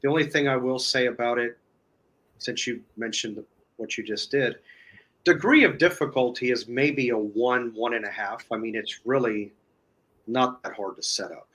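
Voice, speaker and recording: A man's voice: mid-range voice, normal speed, a bit bright. A man explaining an app as a guest in casual chat, rambling and repeating himself. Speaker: guest demonstrating a phone app.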